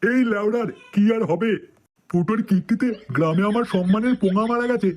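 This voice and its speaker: A man's voice: high voice, fairly quick, a little hiss or hum, close to an everyday voice. A man voicing a foul-mouthed, worried, grumbling old village grandfather in a comedy cartoon. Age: older. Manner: grumbling, crude.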